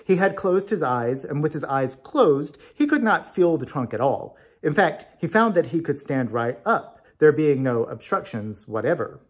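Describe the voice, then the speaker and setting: A man reading a storybook tale aloud as narrator, in an expressive scripted style. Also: on the high side, normal speed, few pauses, husky. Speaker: story reader.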